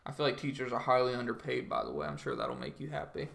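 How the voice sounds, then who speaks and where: A man's voice: mid-range voice, level tone, fast talker, gravelly, fairly bright tone. A young man with a groggy voice, chatting casually with viewers on a trading livestream, full of ums and likes. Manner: rambling, filler-heavy, says "like" and "um" constantly.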